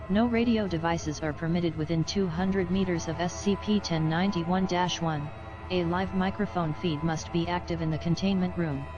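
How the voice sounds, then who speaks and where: Dull lo-fi narrator reading over prominent music. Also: medium pitch. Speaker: narrator reading a fiction wiki entry. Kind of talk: scripted narration, formal document reading.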